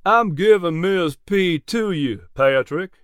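A man's voice: high-pitched, animated, slow, fairly bright tone, clean tone, dry recording, voice pushed up, heavily acted. Guy doing a generous teasing older horse owner, folksy and emphatic, raised voice, close dry sound. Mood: warm, insistent. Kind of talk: audiobook character dialogue.

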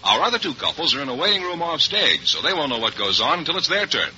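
A man's voice: fairly high pitch, sing-song, quick pace, bright, forceful tone, breathy edge. A man announcing in a polished 1940s radio style. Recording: muffled, faintly noisy old radio broadcast.

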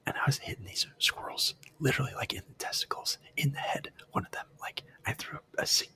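A man whispering a rambling, profane camping story in a relaxed, self-amused way. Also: a little fast. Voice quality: breathy whisper.

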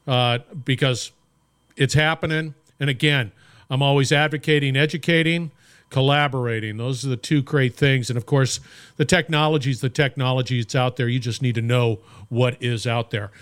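A man's voice: mid-range voice, a bit bright. A middle-aged man hosting a podcast, upbeat and emphatic, often repeating words as he talks. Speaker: podcast host. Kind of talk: podcast intro and interview.

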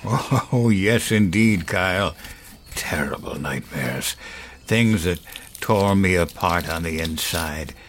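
An actor playing an elderly grandfather in a horror audio drama, folksy, wistful and quietly menacing, with halting, musing delivery. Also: low-pitched. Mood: haunted, ominous. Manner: halting, musing, with sighs and chuckles. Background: music.

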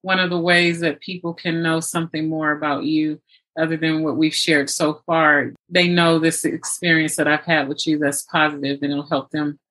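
A woman's voice: sits low, level tone, normal speed, fairly bright tone, low-quality recording. A woman hosting an interview podcast in a conversational, effusive and appreciative way. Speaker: podcast host.

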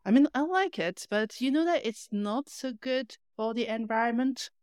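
A woman's voice: a little high, expressive, normal speed, a little mellow, smooth, no echo. Woman chatting, close and dry. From lives in Paris. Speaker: podcast co-host. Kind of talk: casual conversation.

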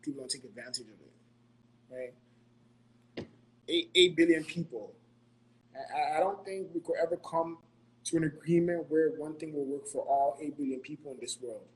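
A man from Ghana talks in a slightly high voice, earnest and motivational, looping back over his points and tagging them with "right?". Under his voice sits a faint electrical hum. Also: normal speed, pauses often, low-quality recording. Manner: earnest, repeats himself, ends points with "right?". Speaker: podcast co-host giving financial advice. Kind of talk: motivational casual talk.

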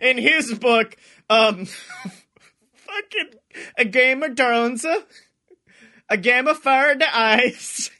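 A man co-hosting a podcast with excitable, jokey, profane casual banter. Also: very high-pitched, drawn-out words, pauses often. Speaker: podcast co-host.

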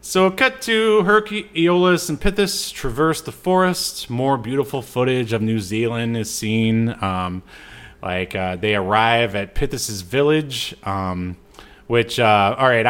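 A man with an average-pitched voice co-hosts a film podcast. He recaps and comments casually, feeling his way through sentences and drifting into little asides. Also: measured pace, fairly bright tone, clear, resonant tone. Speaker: podcast co-host.

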